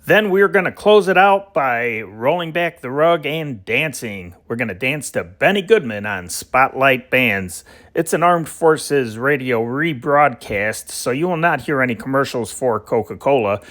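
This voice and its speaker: A man's voice: mid-range voice, a bit bright. A man hosting an old-time radio channel, giving an informal, partly scripted introduction in a conversational way with wry asides.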